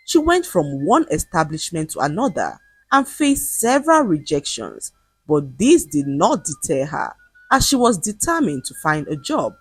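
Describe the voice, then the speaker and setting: A woman narrating a scripted folktale in a measured, expressive way. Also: on the low side, slightly rough, no echo. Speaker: storyteller.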